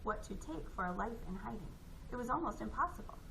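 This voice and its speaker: A woman's voice: a little high, lively intonation, fast. A woman reading a graphic novel aloud in a storytelling style. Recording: clearly noisy home recording.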